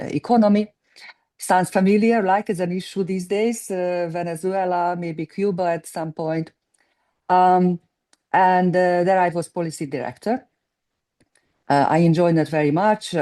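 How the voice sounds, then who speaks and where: A woman in her sixties, a guest economist speaking in a halting way as she searches for words. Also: sits low, warm tone. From Hungary. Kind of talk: conversational interview.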